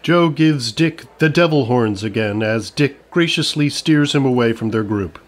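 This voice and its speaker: A man's voice: mid-range voice, expressive, moderate pace. A man reading scripted narration for a comedy audio drama. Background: music.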